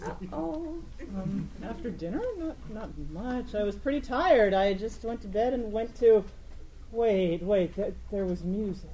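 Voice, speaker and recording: A woman's voice: mid-range voice, expressive, measured pace, dark tone, slight background noise, lightly acted, poor audio. A woman playing a plucky, easily exasperated young inventor heroine in a comic radio play. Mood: sheepish.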